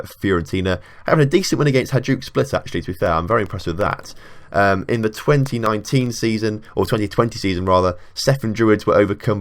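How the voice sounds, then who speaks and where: Low-voiced man chatting over a game. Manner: chatty, thinking aloud with many fillers. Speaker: video game channel host. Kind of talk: casual gameplay commentary.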